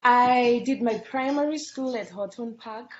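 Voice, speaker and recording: A woman's voice: a little high, measured pace. A woman with a Zimbabwean accent, answering as the guest in a casual live-stream interview. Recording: dull livestream audio with background noise.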